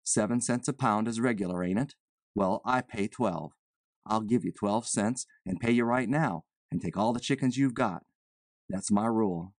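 A woman's voice: very deep voice, darkish tone, no room sound, clean studio sound, pitched below the performer's own voice. A woman voicing a nervous, evasive man who poses as a chicken buyer, with folksy, ungrammatical, rambling talk.

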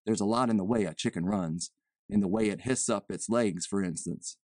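A woman's voice: rumbling low, normal speed, a little mellow, no echo, studio quality, voice pushed down. A woman voicing a nervous, evasive man who poses as a chicken buyer, with folksy, ungrammatical, rambling talk. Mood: urgent, insistent.

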